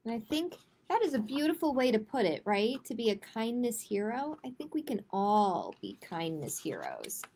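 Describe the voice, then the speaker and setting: Lady with a highish voice doing a kids storytime, simple careful phrasing like a librarian. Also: huge pitch swings, normal speed, hardly any pauses, a little mellow, a little hiss or hum. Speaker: children's librarian hosting storytime. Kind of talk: live picture-book storytime for children.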